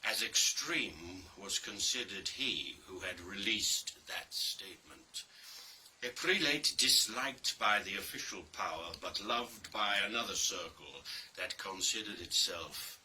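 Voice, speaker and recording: A man reads a dark story as dramatic scripted narration, theatrical and deliberate, with pauses and heavy emphasis. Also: low-pitched, expressive. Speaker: reader of a play. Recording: distant mic with faint background noise.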